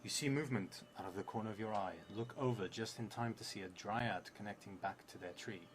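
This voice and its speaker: A man in his thirties, a non-native English speaker, playing a solo tabletop game, reading prompts and thinking aloud in a halting, muttering way. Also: on the low side. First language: not English; English is a third language. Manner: halting, muttering to himself while writing, clearer when reading aloud. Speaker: solo tabletop roleplay streamer. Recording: clearly noisy stream audio.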